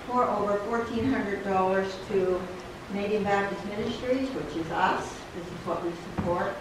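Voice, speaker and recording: A woman's voice: medium pitch, moderate pace. A middle-aged woman giving a chatty, enthusiastic announcement at a church pulpit. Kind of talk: casual announcement to a congregation. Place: small wooden church sanctuary, at a pulpit. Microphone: stand mic at the pulpit, far camera. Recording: echoey church room from a distant camera, background noise.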